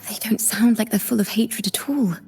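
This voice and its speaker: Game role: inquisitive young female bard, soft-spoken and reflective, higher register, music underneath. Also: breathy edge. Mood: inquisitive, reasoning. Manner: measured, reflective. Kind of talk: video game voice acting. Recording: music behind the voice.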